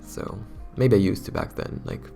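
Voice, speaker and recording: A young man, hoarse from losing his voice, chatting casually and rambling to his viewers as a YouTuber. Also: normal speed, warm tone, faint music behind. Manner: chatty, rambling, self-conscious. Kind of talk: casual talk to camera.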